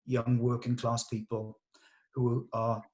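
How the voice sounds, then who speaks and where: A man with a mid-range voice leads an informal creative discussion. He speaks deliberately, thinking aloud as he explains his reasoning and tries out possible lines of dialogue. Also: medium pitch, completely flat delivery. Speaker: script discussion leader at a table read.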